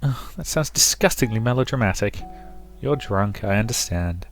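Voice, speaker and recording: A man voicing a gentle, teasing young woman, a loyal friend in a visual novel, soft-spoken and measured. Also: on the low side, lively intonation, faint music behind.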